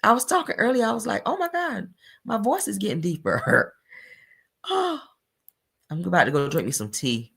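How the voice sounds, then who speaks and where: Bright-voiced woman dishing reality-TV gossip. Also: mid-range voice, sing-song, normal speed, gravelly, long pauses, slightly rough. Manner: blunt, slangy, talks straight to viewers. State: voice deepened by allergies. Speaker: celebrity gossip commentator. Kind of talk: casual reality-TV commentary.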